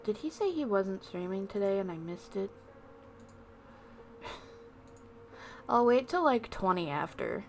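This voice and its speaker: A woman's voice: mid-range voice, a little hiss or hum. A woman livestreamer chatting casually to viewers in a rambling, self-deprecating way, with music behind her. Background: background music.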